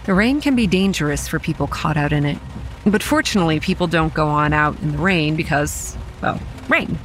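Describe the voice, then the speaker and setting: A woman's voice: low voice, animated, a little fast, a little hiss or hum. A young woman acting a wry, weary campground manager narrating a horror audio drama in a dry, exasperated way. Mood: uneasy, brooding. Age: young adult. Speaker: narrator and lead character.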